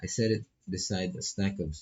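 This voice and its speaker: A man reading a visual novel's narration aloud as a streamer, with a gravelly, husky voice, often stumbling and correcting himself. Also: low voice, normal speed, darkish tone. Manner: stumbles over words, repeats and corrects himself. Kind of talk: live read-aloud of narration and dialogue.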